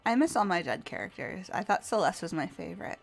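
A woman's voice: on the low side, expressive, fast talker, creaky, soft background music. A woman bantering casually as part of a group game playthrough.

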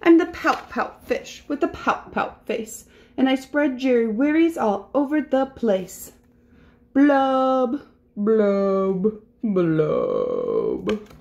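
A gloomy, sulking storybook fish, played by a woman, pitched high above everyday talk. He speaks in a slow, mopey sing-song of rhymes, resigned to being glum. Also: very animated, gravelly, a little mellow, highs cut off, exaggerated voice. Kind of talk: children's picture-book character voice.

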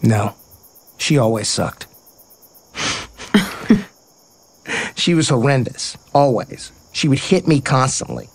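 An actor playing a playful, sincere baseball player, casual and earnest in a naturalistic audio drama. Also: male voice, mid-range voice, lively intonation, takes it slowly, pauses often, slightly rough, exaggerated voice. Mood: nostalgic, sincere.

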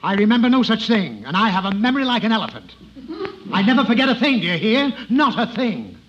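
Blustering radio-comedy dad, sarcastic, sputtering, wildly swooping pitch, muffled old recording. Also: male voice, big pitch swings, quick pace, a bit bright. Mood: blustering, exasperated. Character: exasperated, blustering, forgetful middle-aged father. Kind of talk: 1950s radio comedy acting. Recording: dull, slightly noisy old radio recording.

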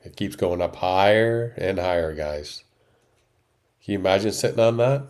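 Low-voiced Canadian-born male travel vlogger in his fifties, stuffy nose, keeps calling viewers guys. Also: moderate pace, darkish tone. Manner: chatty, addresses viewers as "guys". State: stuffed up from a cold. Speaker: vlog host. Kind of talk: travel vlog voiceover and chat.

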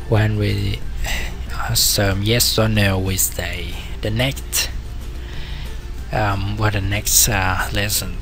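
Male teacher, very slow and halting, low gravelly voice, faint music underneath. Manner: slow, halting, many repetitions and fillers. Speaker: language teacher. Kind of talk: screen-recorded language lesson.

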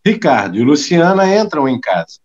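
A man's voice: on the high side, sing-song, moderate pace, a little mellow, soft background music. A man narrating scenes for an audio drama from a script. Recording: dull lo-fi recording.

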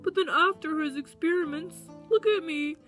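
A cartoon zombie pig henchman voiced by a woman, obedient and meek. The voice is high and bright, with a subdued, plaintive manner. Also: normal speed, soft background music.

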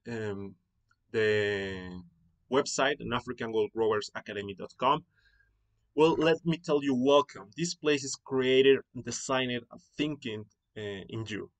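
A man from Mexico with a Mexican Spanish accent, hosting a live online presentation as founder of an academy, earnest and repetitive with many fillers and rephrasings. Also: medium pitch.

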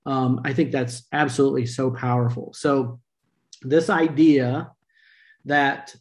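A man's voice: mid-range voice, fairly even intonation, slow. A middle-aged man hosting a video-call interview, talking earnestly with a few fillers. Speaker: podcast host. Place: home room, indoors. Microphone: video call webcam.

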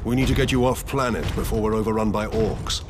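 An actor playing a calm, resolute space marine captain in a video game, measured and commanding. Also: male voice, on the low side, background noise, natural voice. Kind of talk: dramatic game acting. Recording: game music and battle sounds behind.

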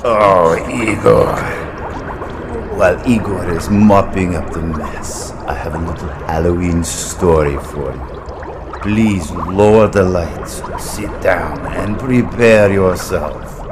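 Halloween radio play: sinister mad-scientist storyteller, theatrical, very slow and drawn out. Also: male voice, low-pitched, noisy background. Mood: gleefully eerie. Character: sinister hospitable mad-scientist horror host, cackling brewer.

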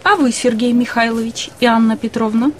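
A woman reading a scripted language course in a measured, carefully enunciated way. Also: a little high, fairly even intonation, slight background noise. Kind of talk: scripted language lesson, slow clear reading.

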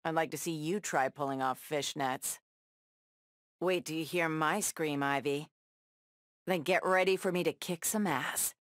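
A woman's voice: low voice, unhurried, no echo, clean studio sound. A woman playing a tough, sassy superheroine in a video game, trading confident, defiant taunts and wry one-liners. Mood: cocky, taunting. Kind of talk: video game battle banter.

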